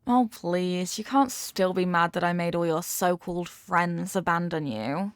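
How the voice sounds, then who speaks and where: A woman's voice: lowish voice, a little slow, clean tone, no echo, studio quality, lightly acted. A woman acting a possessive, manipulative rich young girlfriend in an intimate audio roleplay, talking down in a coaxing, teasing way with veiled threats. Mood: exasperated, possessive.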